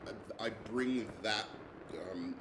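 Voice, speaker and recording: A young man from London introduces himself as a teacher, pausing often on ums and uhs. His voice sits a little low. The room rings with echo, and steady background noise runs under him. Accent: English, London. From England. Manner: hesitant, many ums and uhs. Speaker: English teacher. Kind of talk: self-introduction video.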